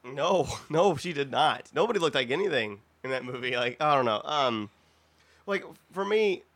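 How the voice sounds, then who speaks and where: A man in a casual podcast chat, talking in a halting, rambling way and often interrupting himself. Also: medium pitch, animated, a bit bright. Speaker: podcast panelist. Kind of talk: casual group chat about films.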